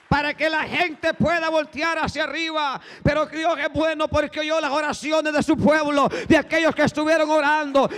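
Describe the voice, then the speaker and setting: A male pastor preaching a fervent sermon, swinging from hushed tones to shouting and repeating "brothers" as a refrain. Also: extremely high pitch. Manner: repeats "hermanos" as a constant refrain. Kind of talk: Spanish-language sermon. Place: church sanctuary. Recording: long echo of a large hall.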